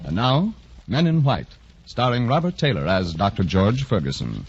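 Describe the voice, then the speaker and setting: A man narrating a 1940s radio drama as announcer, measured and dramatic. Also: on the low side, very animated. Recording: dull 1940s radio recording. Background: loud music.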